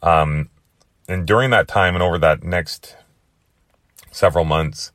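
A young man with a hoarse, worn-out voice hosting his podcast in a rambling, chatty way. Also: deep voice, unhurried, fairly bright tone, no echo, clean studio sound. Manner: chatty, rambling with many fillers. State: hoarse, lost voice. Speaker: podcast host. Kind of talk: casual monologue.

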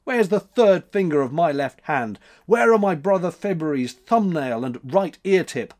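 A man's voice: on the high side, expressive, moderate pace, a little mellow, voice pushed up, close to an everyday voice. A man voicing a blustering, scolding male spirit of a month in a storybook reading. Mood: indignant shouting. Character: March, blustering wild-haired month spirit, grumbling and threatening.

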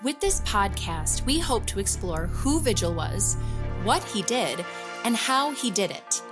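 A woman playing an earnest, intrigued journalist hosting an investigative audio-drama podcast, speaking deliberately. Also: mid-range voice, very animated, music in the background. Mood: intrigued, serious. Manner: earnest, deliberate, with rhetorical questions. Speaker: podcast host. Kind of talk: scripted investigative podcast narration.

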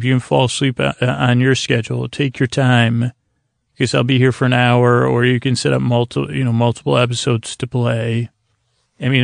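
A man hosting a bedtime podcast, rambling in a slow, languid, gentle and reassuring way with long, meandering pauses. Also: mid-range voice, creaky, no room sound, clean studio sound. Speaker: podcast host.

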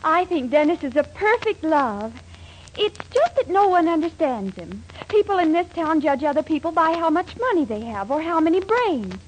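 A woman's voice: extremely high pitch, sing-song, normal speed. An actress playing a devoted, earnest young girlfriend in a 1940s radio comedy, speaking with sweet emphasis. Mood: earnest devotion. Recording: faintly noisy 1940s radio recording.

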